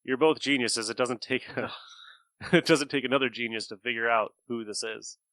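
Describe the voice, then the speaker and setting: A man with a bright, forward voice chats as a podcast co-host. His delivery is casual and conversational, like someone reviewing a show with friends. The sound is close and dry, with very little room in it. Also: medium pitch, moderate pace, dry recording. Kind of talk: casual chat reviewing old radio shows.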